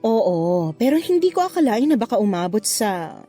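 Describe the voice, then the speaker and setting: A woman playing a flirtatious, unapologetic married mother in a Tagalog radio love drama, with a playful, expressive delivery. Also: mid-range voice, normal speed, faint music behind. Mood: coaxing. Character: flirtatious married mother having a secret affair, breezily unapologetic.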